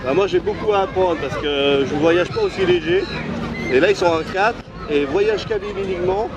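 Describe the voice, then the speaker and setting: A man's voice: high voice, huge pitch swings, moderate pace, highs cut off. A man hosting a casual travel interview, eager and laughing often, with many fillers and false starts. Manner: hesitant, many fillers and restarts. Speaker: travel vlogger host. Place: busy city street outdoors. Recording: loud street crowd noise. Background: crowd and traffic.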